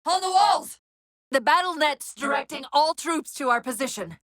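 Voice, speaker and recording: A woman voicing an urgent, clever military AI companion in a video game, wry and earnest, giving crisp tactical briefings with an undercurrent of strain. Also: sits high, expressive, normal speed, fairly bright tone, no room sound, clean studio sound. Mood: urgent, alarmed.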